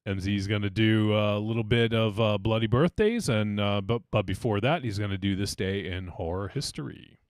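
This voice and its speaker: Very deep-voiced laid-back podcast host. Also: male voice, normal speed, hardly any pauses, no echo, clean studio sound. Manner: laid-back, jokey, swears casually. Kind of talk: casual podcast banter.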